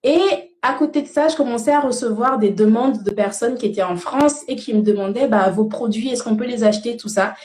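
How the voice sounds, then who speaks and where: Young woman guest, slightly high voice. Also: brisk, hardly any pauses, a little mellow, echoey room. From Senegal. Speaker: interview guest, cosmetics brand founder. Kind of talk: casual first-person storytelling.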